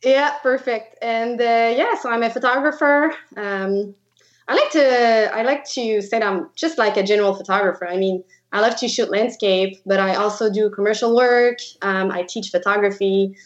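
A woman in her thirties with a Québécois French accent, answering interview questions in English with many pauses and restarts as she searches for words. Her audio is slightly dull and muffled, as if from a remote connection. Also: a little high. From Quebec, Canada. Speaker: guest photographer. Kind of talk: podcast interview.

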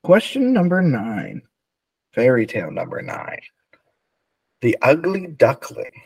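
A man co-hosting a podcast as quizmaster, explaining answers casually in a measured way. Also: medium pitch, huge pitch swings, vocal fry, darkish tone, husky, no room sound.

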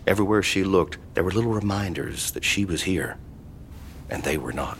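A man narrating a scripted horror audio drama in a measured, solemn way. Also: low-pitched, animated, a little hiss or hum. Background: music.

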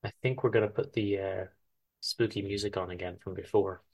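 A man giving a theatrical, emphatic dramatic reading of a story, with deliberate pauses. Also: low-pitched, fairly even intonation, darkish tone. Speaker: host reading his show's story.

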